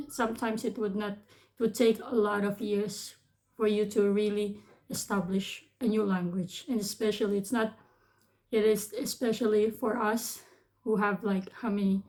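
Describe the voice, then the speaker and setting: A woman's voice: medium pitch, level tone, normal speed, a little mellow. A woman in her thirties from the Philippines with a Filipino accent, talking casually and hesitantly in a vlog with many restarts. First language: Tagalog. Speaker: vlogger. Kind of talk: casual advice talk.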